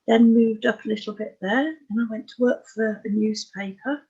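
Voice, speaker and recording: Husky Englishwoman in her sixties, frank storyteller. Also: a little high, fairly even intonation, normal speed, mellow, lo-fi sound. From Oxfordshire, England. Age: 60s. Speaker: interview guest. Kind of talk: candid personal life story in conversation.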